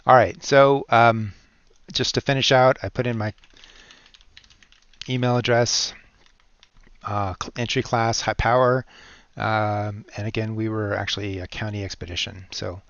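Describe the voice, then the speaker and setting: A man presenting an unscripted step-by-step software tutorial, explaining things in a halting way. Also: on the low side, steady tone, clean tone, no echo, highs cut off.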